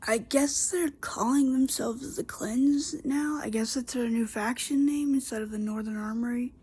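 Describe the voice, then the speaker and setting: A woman's voice: a little high, fairly even intonation, normal speed, a little mellow, slightly rough. A woman vlogger with a congested voice, recapping a wrestling show in a chatty, rambling way with many fillers and restarts. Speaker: YouTube wrestling reviewer. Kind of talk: casual solo show recap.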